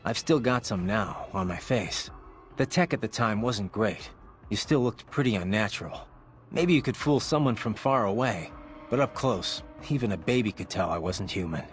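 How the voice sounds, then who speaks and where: An actor playing a brooding, cynical cyborg mercenary in a video game, gruff and terse. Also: male voice, on the low side, expressive, normal speed, lightly acted. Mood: wry, reflective. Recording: music behind.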